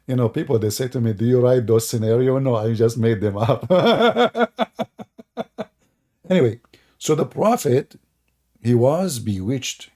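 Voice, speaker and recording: Arabic-native guy hosting, sarcastic and theatrical, dark husky voice. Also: medium pitch, big pitch swings, slow, pauses often. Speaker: host. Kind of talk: sarcastic religious commentary.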